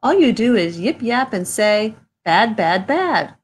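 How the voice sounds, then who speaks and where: A woman's voice: mid-range voice, a little slow, close to an everyday voice. A woman reading a picture book aloud, voicing a greedy man who answers back in a blustering, defiant way.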